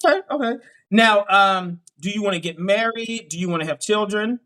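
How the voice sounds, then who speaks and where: A high, bright male voice with a Pittsburgh accent. He hosts a dating show and fires off quick, upbeat questions, backing them with steady affirmations. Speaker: dating coach host. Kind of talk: live dating show interview.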